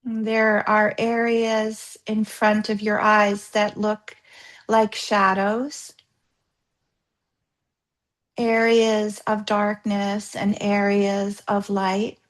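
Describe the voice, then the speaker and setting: A woman leading a guided yoga nidra practice as a yoga therapist, gently instructing in a soothing, unhurried way with pauses. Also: mid-range voice, monotone.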